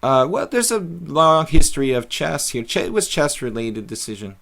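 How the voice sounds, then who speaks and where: A man's voice: a little high, animated, moderate pace, gravelly, a bit bright. A middle-aged man casually commentating his own chess games on a live stream, thinking aloud with many fillers.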